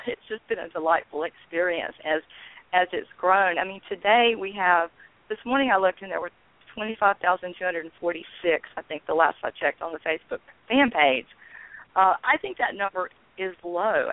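An earnest woman calls in as a guest and tells her story, pausing often with ums. Her voice comes through a narrow, tinny phone line. The sound is dry and close. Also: fairly low pitch, no room sound. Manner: earnest, halting with frequent ums. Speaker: guest, founder of a peace blogging network. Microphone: telephone.

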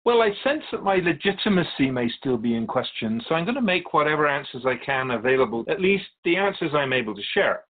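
An otherworldly male spirit played by a man speaks as a guest in a radio drama. He is calm and explanatory, curious about human speech, with a lively, varied pitch. The recording is a little dull and lo-fi. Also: a little fast, few pauses. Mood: candid, measured.

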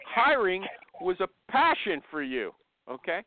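A man hosting an informal live interview, blunt and quick to interrupt and steer his guest, heard over a narrow phone connection. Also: sits high, big pitch swings. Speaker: host interviewing a guest on a live online show. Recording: narrow, thin phone-line sound.

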